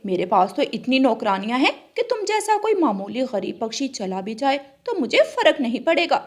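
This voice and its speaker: A rich and cruel lady bird from a cartoon , acted out by a woman. She sounds haughty and high, ordering and scolding with open contempt. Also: very animated, moderate pace. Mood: angry.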